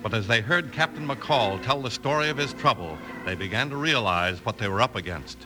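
A man's voice: mid-range voice, lively intonation, brisk, close to an everyday voice. A man playing a hearty, emphatic announcer and cowboy pal in old-time radio, with an American accent. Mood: dramatic.

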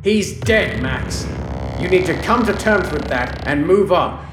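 A man's voice: high-pitched, expressive, unhurried. A man playing a cornered villain, a former captor, anxious and pleading as he reasons, in a sci-fi audio drama. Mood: stern, reasoning. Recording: echoing room, music underneath.

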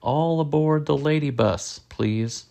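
A storybook reader as a cheerful, unflappable schoolteacher woman, creaky. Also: medium pitch, takes it slowly, pitched above the performer's own voice. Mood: upbeat. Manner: calm, upbeat, announcing. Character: cheerful, unflappable adult woman schoolteacher leading a class trip.

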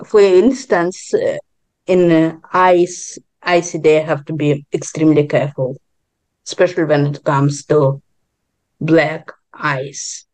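A woman who grew up in Israel speaks as an interview guest in a lowish voice. Her delivery is very slow and deliberate, with halting, non-native phrasing. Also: steady tone, a little mellow. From born in Ukraine, grew up in Israel. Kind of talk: personal interview answers.

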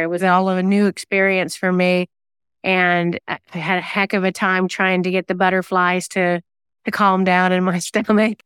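A woman's voice: on the low side, a bit bright, smooth, no echo. A woman giving conversational interview answers in a chatty, self-deprecating way. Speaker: guest singer-songwriter and novelist.

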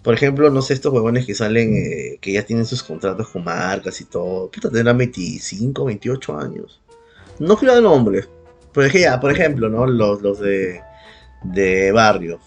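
A man with a Peruvian accent and a husky voice chatting casually as a podcast co-host, slangy and profane. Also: fairly low pitch, very animated, moderate pace, soft background music. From Peru. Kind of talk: casual chat, reminiscing.

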